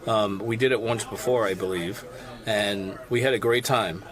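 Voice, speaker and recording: A man's voice: lowish voice, normal speed. A man being interviewed casually, rambling eagerly and repeating himself as he answers.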